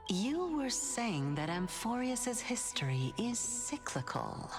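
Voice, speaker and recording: A woman playing a solemn, enigmatic female spirit in a video game, calm and probing, with a measured, formal delivery. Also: a little high, full character voice. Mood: grave, questioning. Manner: measured, formal, coolly teasing. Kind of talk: dramatic video game voice acting. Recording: game music under the voice.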